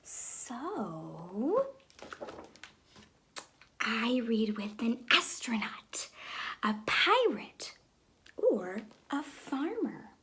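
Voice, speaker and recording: Slow, high-voiced woman reading to children. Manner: expressive, addressing young children. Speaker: schoolteacher reading a picture book aloud. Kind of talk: children's story read-aloud. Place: room at home with reading chair.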